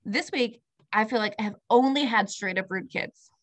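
A woman co-hosting a casual podcast, telling stories in a chatty, relaxed way and swearing casually. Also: mid-range voice, moderate pace, no echo. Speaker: podcast co-host. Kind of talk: casual chat.

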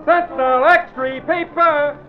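An actor playing a newsboy hawking papers with drawn-out street cries in an old-time radio drama. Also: male voice, very high-pitched, steady tone, highs cut off, loud music behind the voice. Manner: loud, sing-out street cry.

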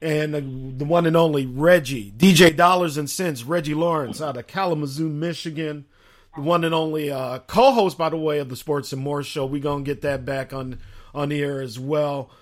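A man with a raspy voice hosting a casual sports chat show, chatty and animated as he asks questions. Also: a little high, normal speed. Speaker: host.

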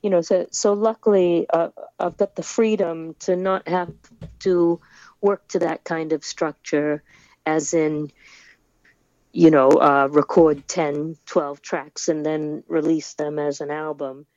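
A woman's voice: low voice, flat delivery, takes it slowly, a little mellow, no echo, highs cut off. A woman raised in the United States, a musician guest in a remote interview, talking hesitantly with many fillers and restarts.

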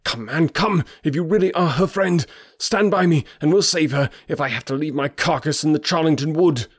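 A man's voice: fairly high pitch, normal speed, slightly rough, no room sound, clean studio sound, pitched above the performer's own voice. A man voicing a frantic, desperate male ally in an audiobook story, exclaiming with urgency.

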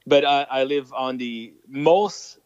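A man's voice: medium pitch, slow, darkish tone, clear, resonant tone, dry recording, highs cut off. A man from Nova Scotia with an Acadian French accent, French his first language, chatting as an interview guest and laughing at his own jokes. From Nova Scotia, Canada. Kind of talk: casual storytelling interview.

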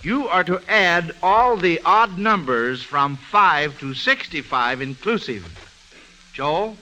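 A man's voice: on the high side, animated, slow, bright, forceful tone. A man hosting an old-time radio quiz show, encouraging and avuncular with children. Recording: noisy old radio broadcast recording.

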